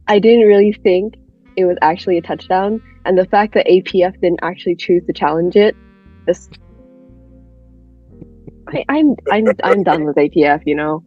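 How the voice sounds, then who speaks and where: A woman's voice: lowish voice, moderate pace, long pauses, faint music behind. A woman guest in casual sports chat, blunt and informal, on dull lo-fi audio. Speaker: guest panelist.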